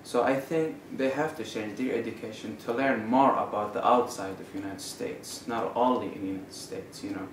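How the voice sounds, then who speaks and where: Halting young man from Saudi Arabia. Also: medium pitch. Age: young adult. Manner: halting, many fillers and restarts. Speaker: international student. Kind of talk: interview answers. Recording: roomy, distant mic with faint noise.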